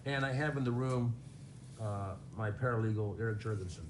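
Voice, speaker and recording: A man's voice: on the low side, fairly quick. Hesitant male lawyer, noisy audio. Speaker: plaintiff's lawyer. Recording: noisy, distant video call.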